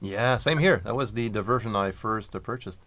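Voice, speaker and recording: A man's voice: fairly low pitch, normal speed, a bit bright, dry recording. A man from French Canada, French his first language, a guest in a casual chat giving short friendly replies.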